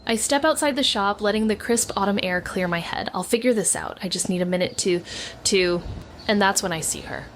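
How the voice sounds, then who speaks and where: A woman's voice: medium pitch, brisk, noisy background, natural voice. An actress playing a thoughtful, haunted young woman, narrating a noir audio drama in the first person in a hesitant, introspective way. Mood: introspective, uneasy. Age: young adult. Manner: hesitant, introspective, self-interrupting. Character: Mags, thoughtful haunted young woman, former teenage detective.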